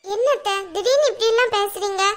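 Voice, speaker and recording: A woman's voice: very high voice, brisk. A woman playing a meek young daughter-in-law who pleads with her mother-in-law. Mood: pleading. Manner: pleading, respectful.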